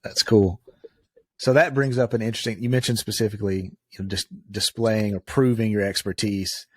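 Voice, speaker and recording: Lower-voiced male interviewer, dry close sound. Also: no room sound. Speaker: podcast interviewer. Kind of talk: casual interview talk.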